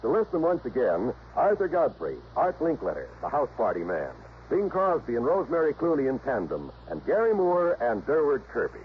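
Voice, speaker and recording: An American man announcing in a scripted mid-century network radio style, formal and grandiose with carefully measured phrasing. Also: medium pitch, huge pitch swings. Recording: muffled old radio broadcast, faint noise.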